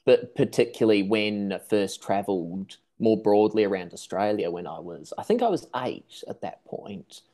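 A young man from New Zealand, raised in Tasmania, giving articulate, measured answers as a podcast guest over a video call. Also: on the low side, lively intonation, warm tone. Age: young adult. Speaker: guest, seabird researcher. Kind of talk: conversational interview answers. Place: room at home, video call.

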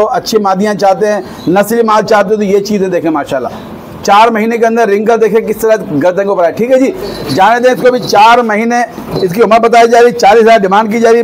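A man walks through a goat farm, praising the animals eagerly and pitching them like a salesman. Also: slight background noise. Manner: enthusiastic, repeats blessings often, salesy. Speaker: vlogger visiting a goat farm. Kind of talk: walk-through vlog commentary with a seller.

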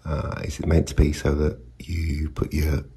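Male hobbyist presenter, dark husky tone with some gravel, unscripted and hesitant. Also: very deep voice, completely flat delivery, a little slow. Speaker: video maker, CB radio hobbyist. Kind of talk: casual unscripted demonstration.

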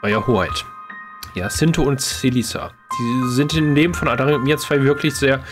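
A man voicing an earnest, halting male adventurer in a fantasy game, pleading for his friends. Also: medium pitch, over music, close to an everyday voice.

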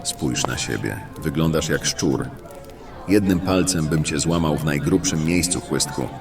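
Man acting a very deep, surly fantasy tough. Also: measured pace, recorded from a distance, over music. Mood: irritated. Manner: gruff, curt, surly. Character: irritable, nervous, threatening male fantasy tough guy. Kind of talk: fantasy audio drama acting.

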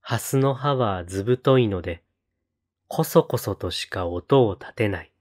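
A man reciting poetry in a solemn style, with measured, deliberate phrasing and pauses between lines. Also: fairly low pitch, animated, a little mellow. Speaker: poetry reader on a read-aloud channel.

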